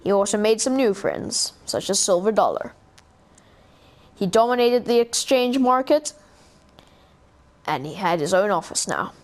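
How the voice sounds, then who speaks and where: A woman hosting a gaming video, telling a game backstory in a slow, halting way. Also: medium pitch, expressive. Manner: slow, halting storytelling narration. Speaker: gaming channel host.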